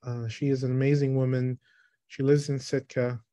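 A man in his thirties, from the Philippines, giving a thoughtful online talk about his photography on a video call, with pauses and restarts. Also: medium pitch, level tone, mellow, no echo. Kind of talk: online presentation. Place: small room, indoors. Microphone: webcam on a video call.

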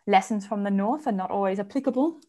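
A woman's voice: medium pitch, mellow. Earnest Australian woman panelist, thirties, talking over a video call from home. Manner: earnest, fluent, measured with some restarts. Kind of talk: online panel discussion. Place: home room with bookshelves. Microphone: video call, earphones.

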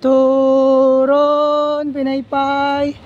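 A woman with a Davao Cebuano accent who drives a pedicab and vlogs, calling out for passengers on quiet residential streets and chatting casually. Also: high-pitched, completely flat delivery, soft background music. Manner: slow, drawn-out repeated calls for riders. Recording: muffled outdoor audio with faint street noise.

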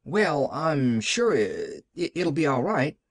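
Storybook part: kindly young servant girl, folksy and easily flustered, high, animated voice , performed by a man. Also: normal speed, no room sound. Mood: uneasy. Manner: stammering, folksy. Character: flustered, kindly young hired girl, plain country speech.

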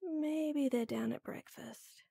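A woman's voice: high voice, slow, vocal fry, warm tone, dry recording, clean studio sound, full character voice. A woman playing a flustered, lovestruck young woman in an intimate audio roleplay, hesitant and teasing. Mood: anxious, musing.

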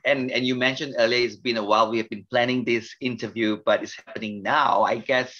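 A gentle, soft-spoken pastor in his fifties with a Malaysian accent tells his story at an unhurried, reflective pace. His voice sits in a middle register for a man. The sound is a little dull and lo-fi. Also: mid-range voice, fairly even intonation. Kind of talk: podcast interview.

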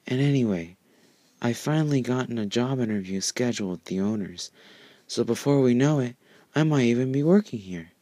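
A man's voice: mid-range voice, animated, brisk, warm tone, no room sound, voice pushed up, natural voice. Casting: fussy, exasperated mare roommate for storybook reading, done by a man, dry sound. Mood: reproachful, prim. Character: prim, exasperated female pony roommate, a candy maker.

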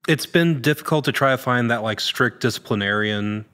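A man in his thirties chatting casually as a podcast guest, halting and informal, with a self-deprecating manner. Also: mid-range voice, a bit bright, clean tone. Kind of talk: casual chat. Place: large indoor studio room. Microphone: boom-mounted studio mic.

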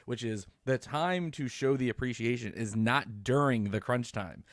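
A man's voice: medium pitch, moderate pace, dry recording, studio quality. A man in his thirties chatting casually as a podcast co-host, emphatic, acting out imagined dialogue. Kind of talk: casual chat. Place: small home room, indoors. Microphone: boom-mounted studio mic close up, headset.

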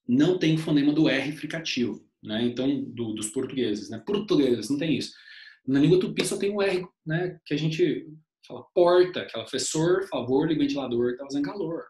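Chatty male teacher in an echoey room. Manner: chatty, uses "né?" as a constant tag, animated pitch swings. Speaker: history teacher. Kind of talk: online lecture over slides.

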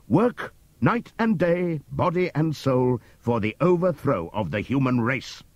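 A wise old boar voiced by a man rouses his fellow animals in a husky, lowish voice that leaps from ringing calls to near-whispers. The storybook reading sounds close and dry. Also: dry recording, exaggerated voice. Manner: impassioned oratory, sweeping from loud appeals to hushed asides. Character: old prize boar, wise, rousing orator. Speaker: audiobook reader. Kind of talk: dramatic audiobook reading.

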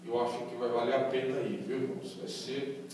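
A man's voice: mid-range voice, little pitch movement, brisk, darkish tone, a little hiss or hum. A man speaking as a pastor, fervently giving church announcements and calling for amens. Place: large church hall, indoors. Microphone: handheld mic, recorded from far in the pews. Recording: echoey hall from far off.

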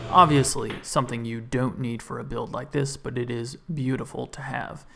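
A man's voice: mid-range voice, vocal fry. A man in his thirties, host of a woodworking channel, narrating his build in a voice-over and explaining each step with upbeat enthusiasm for his tools.

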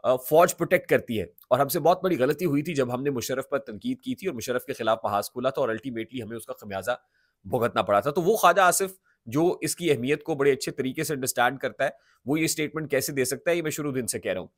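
A man's voice: medium pitch, fast, dry recording, studio quality. A man in his thirties with a Pakistani accent, giving emphatic political commentary to camera, restating his points and mixing in English words. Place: small indoor home studio. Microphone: large studio microphone close in front.

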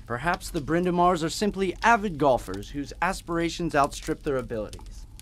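An actor playing a wisecracking, upbeat young husband on honeymoon, in old-time radio drama style. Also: male voice, on the high side, animated, moderate pace, slight background noise, lightly acted. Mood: wry, teasing. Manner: jaunty, polite, wry.

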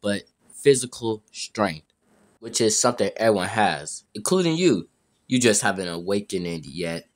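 A man voicing a seasoned, cocky fighter mentoring a newcomer in an amateur game roleplay, deliberate and ominous. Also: lowish voice, expressive, lightly acted. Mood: explaining.

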